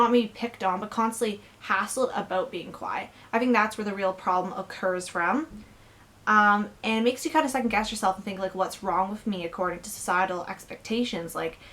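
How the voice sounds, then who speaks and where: A woman's voice: mid-range voice, a bit bright. A young woman vlogging from her bedroom, talking candidly and earnestly with lots of fillers in a personal rant.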